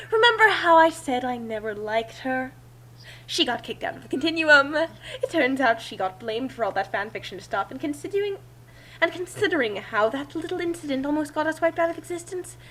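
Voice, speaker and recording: A woman's voice: sits high, a bit bright. A woman reading a first-person story aloud in a theatrical, emphatic manner. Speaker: reader of fan fiction. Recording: noisy amateur recording with hum.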